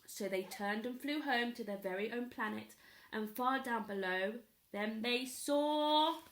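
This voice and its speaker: Female storyteller, primary-school storytime: expressive, unhurried delivery, slightly high pitch. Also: a little hiss or hum. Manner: pauses to ask young listeners questions. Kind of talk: expressive children's story reading.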